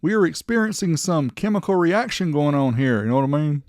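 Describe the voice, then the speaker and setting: A man's voice: fairly high pitch, lively intonation, a little fast, darkish tone, no echo, studio quality. A man narrating a product showcase as the host, in a chatty, informal way. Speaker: host of a vintage car audio video channel.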